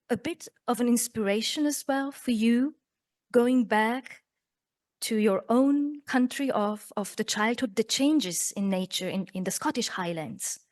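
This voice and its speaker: Moderator, woman with a raised pitch, steering an author interview. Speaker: event moderator. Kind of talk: hosting a literary evening interview.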